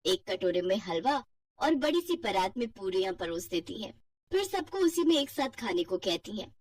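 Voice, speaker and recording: A woman's voice: medium pitch, very animated, normal speed, darkish tone, breathy edge, no echo, recorded from a distance. A woman telling a scripted family cartoon story as narrator.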